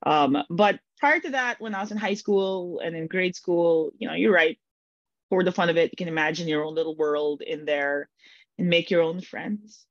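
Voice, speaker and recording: A woman's voice: lowish voice, normal speed, dry recording, dull audio. A woman from the Philippines, an author and guest, chatting playfully and giggling in a casual interview.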